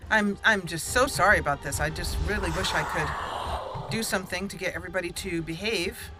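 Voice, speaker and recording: A woman's voice: lowish voice, brisk, loud music behind the voice, low-quality recording. A woman hosting a game playthrough, fretfully talking through each move as she plays. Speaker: let's play host. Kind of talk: gameplay commentary.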